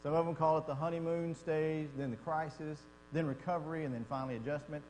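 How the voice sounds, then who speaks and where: A man's voice: a little high, fairly even intonation, fast, a little mellow. Texan man, Bible class teacher, folksy and chatty; echoey hall, faint mains hum. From Texas. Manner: folksy and conversational, asks the class questions. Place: church classroom.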